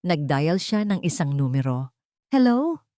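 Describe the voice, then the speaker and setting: A woman's voice: deep voice, expressive, normal speed, darkish tone, no room sound, clean studio sound. A woman narrating a scripted dramatic story as a storyteller.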